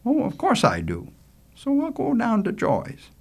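A man's voice: very high voice, wildly swooping pitch, a little slow, creaky, husky, pitched above the performer's own voice, full character voice. A man voicing an easygoing, teasing young coastal fellow with colloquial, folksy speech in a storybook reading. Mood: casual.

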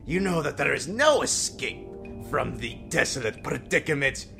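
A pompous, menacing storyteller acted out by a performer in a comic audio drama. The voice swoops wildly from hushed doom to grand proclamation, gravelly at the edges, over prominent music. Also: medium pitch, sing-song, moderate pace. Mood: grandiose menace. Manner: portentous, melodramatic, dragging out grim pronouncements. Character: pompous, menacing, theatrical omniscient narrator who gets flustered. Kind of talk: theatrical second-person narration, audio comedy drama. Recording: music behind the voice.

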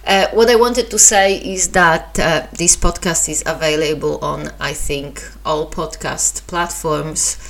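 A woman's voice: deep voice, steady tone, a little slow, hardly any pauses. A woman with a Polish accent, hosting her own podcast in a casual, hesitant way with many fillers and restarts. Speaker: podcast host. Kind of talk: casual solo podcast talk.

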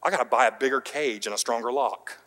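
A pastor preaching a sermon, with emphatic rising peaks, quiet dropped line endings and some light self-deprecating humor. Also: male voice, mid-range voice, fast talker. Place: church sanctuary. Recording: long hall reverb.

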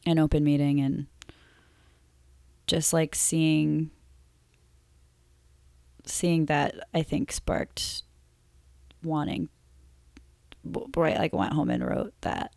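Woman guest, low gravelly voice going hoarse, soft halting chat. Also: lively intonation, dry recording. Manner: halting, many fillers, soft-spoken. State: losing her voice. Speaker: musician guest. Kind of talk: casual interview chat.

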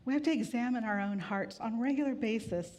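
A woman pastor giving a sermon in a relaxed, conversational way with gentle humor, weary from grief. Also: fairly high pitch, fast talker. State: grieving, tired. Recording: long hall reverb.

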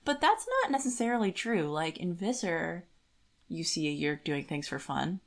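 A woman's voice: lowish voice, expressive, moderate pace. A woman co-hosting a podcast, chatting in a very informal, conversational way. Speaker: podcast co-host. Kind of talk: casual chat.

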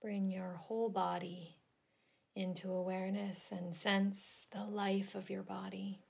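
A woman leading a guided relaxation meditation in a gentle, soothing, deliberate manner with long pauses. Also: mid-range voice, flat delivery, gravelly, husky. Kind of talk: guided yoga nidra meditation.

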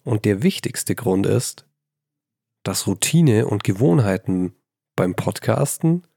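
A man hosting a solo writing podcast, slightly hoarse from a cold, talking in a chatty, self-deprecating way into a handheld mic in a small bedroom. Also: on the low side, expressive, slow pace, warm tone, no room sound, clean studio sound. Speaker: podcast host. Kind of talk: casual solo podcast talk. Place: old childhood bedroom at his mother's house. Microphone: handheld microphone.

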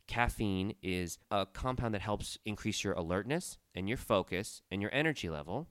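A man's voice: animated, moderate pace, fairly bright tone, no echo. A middle-aged man hosting a studio talk show, talking in a chatty, storytelling way while he recovers from a cold with a stuffy nose. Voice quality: deep, resonant. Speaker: podcast host. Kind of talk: casual chat.

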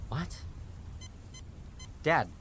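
A man playing an earnest, unsure young army photographer in an audio drama, with a hesitant, questioning manner. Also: extremely slow. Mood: puzzled, insistent. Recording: clear background ambience and noise.

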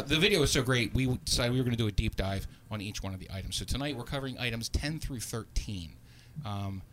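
A man's voice: mid-range voice, fairly bright tone, a little hiss or hum. A man hosting a live podcast, talking loosely and laughing often, with frequent restarts. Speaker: podcast host.

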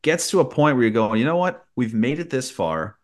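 A man's voice: medium pitch, big pitch swings, a little fast. A man who works as a sports broadcaster, giving conversational sports analysis as a podcast guest.

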